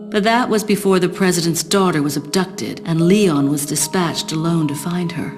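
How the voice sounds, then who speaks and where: A woman's voice: deep voice, close to an everyday voice. A woman voicing a cool, self-assured female spy in a video game cutscene, composed and slyly teasing.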